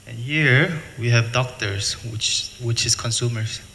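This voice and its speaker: A middle-aged man from the United States presenting at a seminar, speaking plain, halting English and repeating words. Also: mid-range voice, bright, forceful tone. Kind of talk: conference presentation. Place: hotel function room. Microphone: table mic, filmed from afar. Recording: reverberant hall, faint noise.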